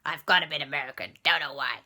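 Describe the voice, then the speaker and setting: A woman's voice: medium pitch, slow, creaky, sharp tone, full character voice. A woman voicing a friendly man who is overheated in the jungle and drawls complaints about the heat.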